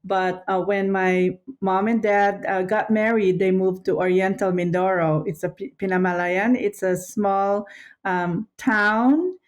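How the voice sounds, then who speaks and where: Female podcast guest, fifties to sixties, Filipino accent, Tagalog native, medium pitch, informal conversational delivery. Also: steady tone, measured pace. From Philippines. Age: 50s or 60s. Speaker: podcast guest, nurse director. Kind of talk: casual autobiographical chat.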